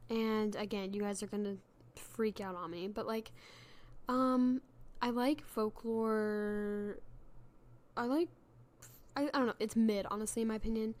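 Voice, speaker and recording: A woman's voice: fairly high pitch, a little slow, long pauses, a little mellow. A young woman hosting a casual solo podcast, chatty and self-deprecating, with many fillers and restarts, her voice worn from being sick. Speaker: podcast host.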